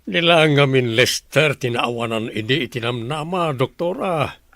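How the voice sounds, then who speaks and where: A man's voice: mid-range voice, animated, unhurried, fairly bright tone, dry recording, clean studio sound. A man voicing a blunt, complaining grown grandson in a radio drama. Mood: grateful. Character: grown grandson, resentful and demanding. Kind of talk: Ilocano radio drama acting.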